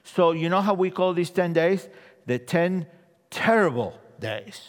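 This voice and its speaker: A man with an Argentine accent preaches in a lively, sing-song voice that sits high for a man. His sermon runs through funny stories, and he drops his voice low and quiet for each punchline. The sound carries the long reverb of a church hall. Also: unhurried. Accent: Argentine Spanish. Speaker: guest preacher.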